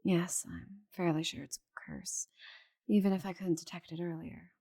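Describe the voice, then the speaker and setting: A woman's voice: fairly low pitch, vocal fry, warm tone, breathy edge. A woman voicing a proud, stubborn female dragon who is grudgingly affectionate, in a dry, intimate ASMR roleplay. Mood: dry, resigned. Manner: proud, dry, halting when flustered.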